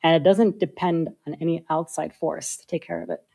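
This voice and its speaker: A woman, a software engineer, talking through her ideas in a casual interview with lots of filler likes. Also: deep voice, steady tone, fairly quick, gravelly, slightly rough. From United States. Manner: thoughtful, many filler likes.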